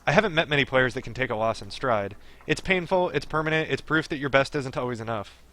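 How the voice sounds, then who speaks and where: A man's voice: medium pitch, lively intonation, fast, bright, forceful tone. A man streaming a game, reading story text aloud and breaking off into chatty, digressive commentary. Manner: chatty, digressive asides while reading narration. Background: game music.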